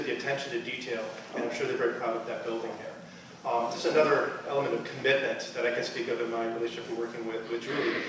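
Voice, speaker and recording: Brisk man at an echoey awards dinner. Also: medium pitch. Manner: brisk, earnest, with many fillers. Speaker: town planning director presenting an award. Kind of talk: awards night speech. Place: banquet room at an awards dinner. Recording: distant echoey hall with noise.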